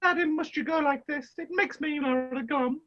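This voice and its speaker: A woman's voice: high voice, brisk, muffled recording, natural voice. A woman playing a scolding, dramatic widowed mother in a rhyming pantomime, with an exaggerated comic delivery. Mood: pleading.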